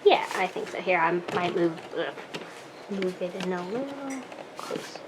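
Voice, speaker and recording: A woman's voice: fairly low pitch, animated, a little slow. A woman helping set up a camera, giving brief, encouraging check-ins. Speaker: helper setting up the camera. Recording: distant mic, clear background noise.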